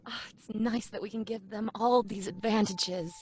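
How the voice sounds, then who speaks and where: A woman playing a gentle, doting housewife and mother in a live radio play, speaking softly and sweetly. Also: medium pitch, steady tone, moderate pace, a little hiss or hum, close to an everyday voice. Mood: content.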